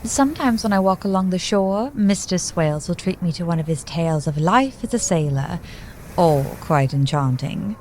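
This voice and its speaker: An actress playing a genteel, romantic young bride-to-be in a radio play, with gushing, proper period diction. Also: female voice, on the low side, lively intonation, normal speed, a little mellow, slight background noise, natural voice. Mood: dreamy.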